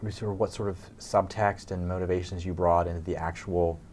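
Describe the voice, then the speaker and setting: Man, very deep voice, flat intonation, interview co-host, studio talk. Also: fairly quick, a little hiss or hum.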